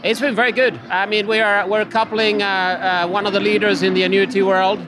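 A man with a Finnish accent and a rather high voice answers questions hesitantly, his sentences full of filler sounds. He is recorded outdoors at a racetrack, with clear noise all around him. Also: level tone, fairly quick. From Finland. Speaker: interview guest, company executive.

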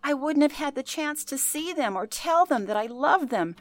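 A woman's voice: high voice, animated, moderate pace, no echo. An actress playing an older former telephone operator, telling her past in an earnest, genteel way for a radio play. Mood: emotional recollection. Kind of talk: radio play, interview-style reminiscence.

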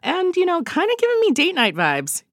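A woman's voice: very high-pitched, huge pitch swings, moderate pace, a bit bright, dry recording, clean studio sound. A woman recommending events as a guest, chatty, often saying "like" and "you know". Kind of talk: casual chat.

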